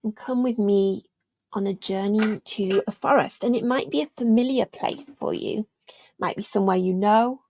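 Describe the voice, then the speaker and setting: A woman leading a guided meditation in a gentle, soothing, unhurried way, leaving long pauses and letting phrases trail off almost to a whisper. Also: medium pitch, gravelly. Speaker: meditation guide, yoga teacher.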